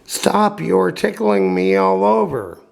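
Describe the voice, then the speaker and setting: A man's voice: sits high, big pitch swings, measured pace, vocal fry, pitched above the performer's own voice, exaggerated voice. A man voicing a tiny, pleading, childlike piece of talking wood in a storybook reading. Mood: laughing. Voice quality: small, thin. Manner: pleading, doleful. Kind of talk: storybook character voice.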